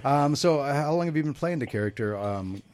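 A man's voice: a little high, lively intonation, a little fast, darkish tone. A man hosting a live call-in radio show, joking and laughing often.

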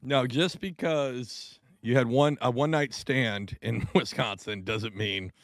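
A man's voice: medium pitch, takes it slowly, no room sound, studio quality. A man co-hosting a podcast, blunt and crude, roasting the others in casual banter. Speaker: podcast co-host.

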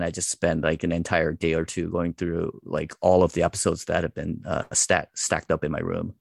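A man in his forties with a very low, gravelly voice chats as an interview guest, relaxed and self-deprecating and full of fillers. The recording is close and dry, with no room sound. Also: no room sound. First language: English. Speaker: interview guest, wrestling translator. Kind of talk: casual interview chat.

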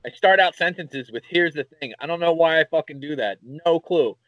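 Philly-accent guy, podcast co-host, slightly high voice, dry and close on the mic, rambling storyteller. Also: normal speed, no room sound, low-quality recording. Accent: Philadelphia. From Philadelphia area, USA. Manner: rambling, animated storyteller, swears casually. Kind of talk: casual chat.

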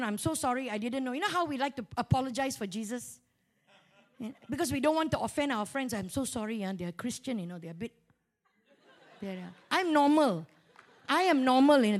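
A woman with a Malaysian accent preaching through a humorous, animated personal story, colloquial and full of local particles, acting out dialogue as she goes. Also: high voice. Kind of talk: storytelling sermon with acted-out dialogue.

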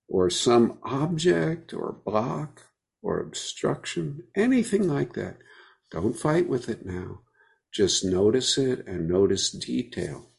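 A man leading a guided meditation, speaking gently with unhurried pauses between phrases. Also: mid-range voice, gravelly.

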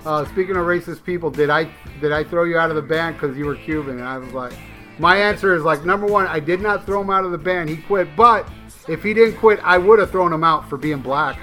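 A man's voice: fairly high pitch, brisk, sharp tone, soft background music. An American man hosting a podcast, telling rambling, crude stories with lots of swearing and laughter. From United States. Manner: rambling storyteller, swears freely, laughs often. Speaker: podcast host. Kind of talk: casual crude podcast chat. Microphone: headphones.

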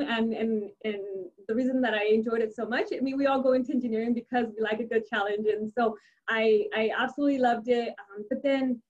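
A young adult woman whose first language is Spanish talks casually and upbeat about her engineering work, often restarting her sentences. Her voice sits a little high, and the recording is dull and lo-fi. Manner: many restarts and fillers, upbeat. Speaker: civil engineer guest. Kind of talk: casual interview answers.

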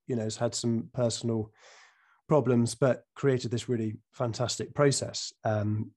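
A man hosting a podcast, croaky after a cold, speaking slowly with many ums and restarts as he introduces his guest over a remote call. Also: medium pitch, a little mellow. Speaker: podcast host. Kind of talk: casual interview.

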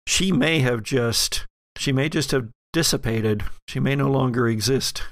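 A man working as game master, narrating a horror tabletop game and thinking aloud in a halting way. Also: mid-range voice, fairly bright tone, dry recording.

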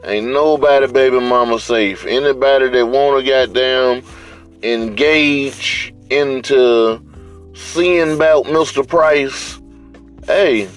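Male podcast host, Southern US accent, unhurried mid-pitched delivery, blunt street slang, light music bed. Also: rough recording. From US South, Atlanta area. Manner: blunt, slangy, heavy swearing. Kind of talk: casual unscripted monologue.

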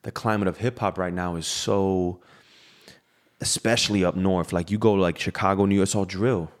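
Laid-back, low-voiced Miami guy. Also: steady tone, moderate pace. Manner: loose, rambling, swears freely, many fillers. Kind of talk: casual podcast chat.

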